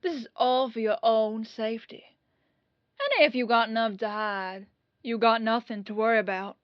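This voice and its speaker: A woman's voice: fairly high pitch, fairly even intonation, moderate pace, no echo, lo-fi sound, natural voice. A woman playing a plain-spoken, breezy police detective lieutenant in an audio roleplay, talking in a casual, colloquial way. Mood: reassuring, firm.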